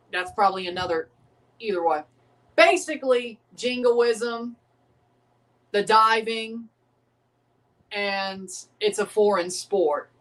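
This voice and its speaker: A man's voice: sits high, fairly bright tone, recorded from a distance, rough recording. A man, hosting a casual livestream reaction and rambling profanely while second-guessing himself. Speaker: livestream host reacting to a match.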